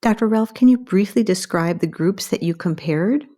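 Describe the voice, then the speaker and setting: A woman's voice: medium pitch, normal speed, warm tone. A middle-aged American woman hosting a formal interview as a medical editor. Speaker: podcast host and medical journal editor.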